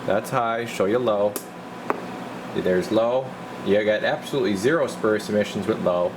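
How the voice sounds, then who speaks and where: Guy with a low voice showing his bench work, casual, muttering thru the steps, really noisy recording. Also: moderate pace. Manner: informal, talking himself through each step. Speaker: radio repair technician presenting his bench work. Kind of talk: casual hands-on demonstration talk. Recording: noisy workshop recording with loud steady hiss.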